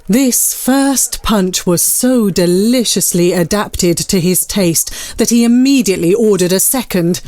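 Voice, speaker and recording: Female narrator, medium pitch, dramatised classic literature, scripted storytelling. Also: a little slow, few pauses, dark tone, no room sound.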